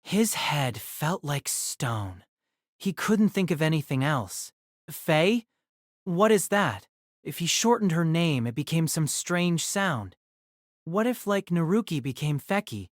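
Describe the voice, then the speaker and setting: A woman reads a character's inner thoughts for an audio drama in a thoughtful, wondering way. Her voice is a little low and recorded dry at the mic. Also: very animated, takes it slowly, dry recording. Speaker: narrator.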